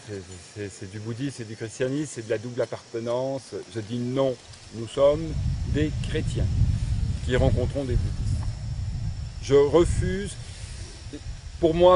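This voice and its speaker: A man's voice: medium pitch, background noise. A man who belongs to a religious order, speaking earnestly and thoughtfully in an interview, thinking aloud as he goes. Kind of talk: reflective interview talk. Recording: echoey room, mic at a distance.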